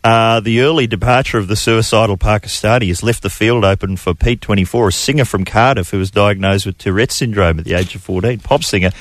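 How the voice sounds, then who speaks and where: A man's voice: lowish voice, brisk, few pauses, no room sound. A middle-aged man with an Australian accent hosting radio banter, reading odd news items with wry asides. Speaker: radio host.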